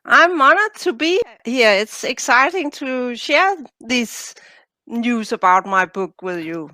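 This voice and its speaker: A woman's voice: a little high, animated, slow, pushed and bright, no room sound. A woman from Denmark with a Danish accent, speaking English as her second language, answering interview questions carefully as an author and coach.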